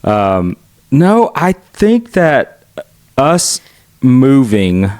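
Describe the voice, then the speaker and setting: A man's voice: mid-range voice, sing-song, extremely slow, a little mellow, no echo, studio quality. A middle-aged man with a Southern US accent, telling stories in an outgoing, chatty way as a podcast guest. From United States. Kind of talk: casual conversational storytelling.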